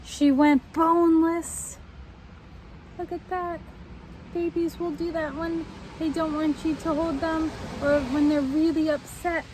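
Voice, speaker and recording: Young woman doing kids story time outside, high voice, slow and expressive, bit of street noise. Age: young adult. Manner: deliberate, expressive, explains and prompts. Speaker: tutor reading a children's book aloud. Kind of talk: children's read-aloud with questions to listeners. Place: outdoors on a front step by a house door. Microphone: camera at a short distance. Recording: faint outdoor street noise.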